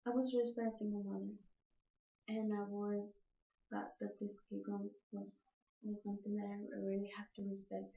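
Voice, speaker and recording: A young woman from El Salvador with a Salvadoran accent and Spanish as her first language gives a prepared speech as a student, haltingly repeating and correcting words. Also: medium pitch, monotone, vocal fry. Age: young adult. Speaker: student speaker. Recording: echoey room, muffled dull sound.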